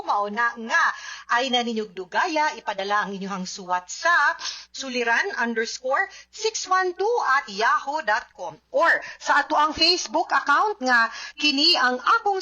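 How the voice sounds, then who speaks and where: A woman's voice: high-pitched, pushed and bright, lo-fi sound. A woman with a Cebuano accent hosting a radio advice show, chatty and often checking in with listeners. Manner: chatty, addresses listeners with frequent tag questions. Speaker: radio host and legal adviser.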